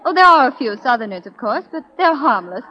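An actress playing a poised, coy young saloon belle in a 1940s radio western, speaking in a polished, teasing manner. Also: female voice, high-pitched, big pitch swings, fairly quick, a little hiss or hum. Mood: bright, coy. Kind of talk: 1940s radio drama acting. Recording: muffled old 1940s radio broadcast.

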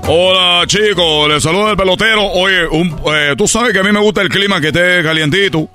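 A man's voice: sits high, a little fast, sharp tone, soft background music. A man playing a boastful Cuban baseball player with a Cuban accent in a comedic radio sketch, rambling and tripping over his words. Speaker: comedy character on a radio show.

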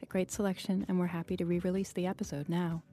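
Composed, mellow woman hosting a podcast. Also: lowish voice, level tone, quick pace, soft background music. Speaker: podcast host. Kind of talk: scripted podcast introduction and literary interview.

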